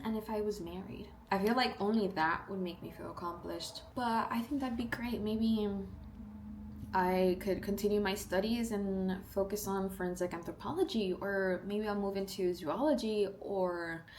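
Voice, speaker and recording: A young woman in her early twenties with a South Texas accent, talking in a chatty, upbeat way. Her voice sits at an ordinary middle pitch. A faint hiss of background noise runs under the home recording. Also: measured pace. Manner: chatty, upbeat, animated asides and playful quoted voices. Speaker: beauty vlogger. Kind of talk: casual get-ready-with-me chat. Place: indoors at home, doing makeup.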